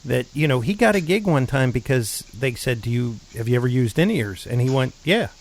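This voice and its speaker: A man hosting a podcast, chatting in a relaxed way and agreeing often. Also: medium pitch, brisk. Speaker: podcast host. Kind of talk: casual interview chat.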